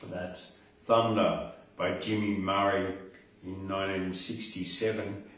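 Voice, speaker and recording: A man hosts a poetry reading with a slow, deliberate delivery and a medium-pitched voice. He sounds informal, like a live presenter. The room is heavily reverberant and he is a little way from the microphone. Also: flat delivery, fairly bright tone. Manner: unhurried, deliberate, dramatic when reciting verse. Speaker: poetry podcast host and reader. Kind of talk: casual live poetry reading and event announcements. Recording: very echoey room, distant from mic.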